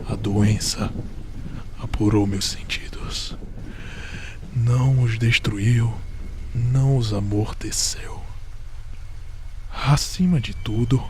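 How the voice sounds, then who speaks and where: Gothic horror monologue: male actor as obsessive, unhinged confessor insisting he's sane, Brazilian accent, very unhurried, intense, slipping into whispers, faint background noise. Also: mid-range voice, darkish tone. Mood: nervous, insistent. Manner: deliberate, intense, dropping into whispers. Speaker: narrator of a horror audio drama.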